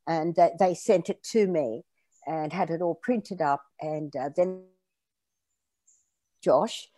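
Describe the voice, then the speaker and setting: Older woman, mellow dry voice. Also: sits low, takes it slowly, dry recording. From Australia. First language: French. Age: around 70. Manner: animated, gestures while telling stories. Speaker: guest author and storyteller. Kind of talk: conversational interview. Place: home room, indoors. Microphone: video call.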